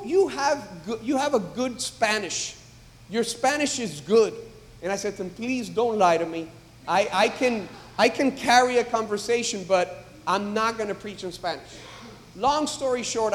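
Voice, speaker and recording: A man, a pastor, preaching a sermon in a church, building from calm talk to emphatic, loud exhortation. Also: high voice, normal speed. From United States. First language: English. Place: church sanctuary. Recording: long reverb of a large hall.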